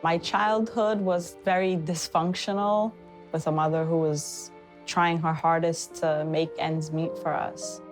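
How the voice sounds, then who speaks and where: A woman's voice: sits low, little pitch movement, unhurried, soft background music. A woman speaking casually in an interview about her past, with conversational fillers. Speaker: trafficking survivor. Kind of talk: interview testimony.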